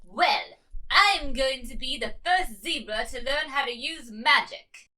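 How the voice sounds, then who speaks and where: A woman's voice: high voice, sharp tone. A woman voicing an earnest, stubborn young female zebra in a cartoon fan drama. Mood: eager. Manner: speaks in deliberate rhymes. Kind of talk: scripted cartoon fan voice acting.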